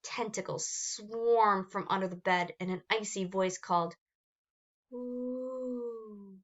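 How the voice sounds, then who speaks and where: A woman reading a children's picture book aloud with expressive narration. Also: mid-range voice, normal speed, lo-fi sound. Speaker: storybook reader.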